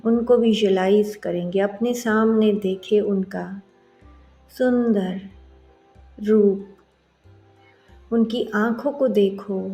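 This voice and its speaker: A woman leading a guided meditation as a yoga instructor, soothing and gently instructing with long pauses. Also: medium pitch. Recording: roomy echo. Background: soft music.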